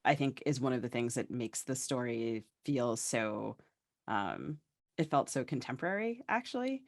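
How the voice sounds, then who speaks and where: A man's voice: medium pitch, expressive, moderate pace, dry recording. Male interviewer, close dry sound. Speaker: podcast co-host, interviewer. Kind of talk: literary interview, conversational questions.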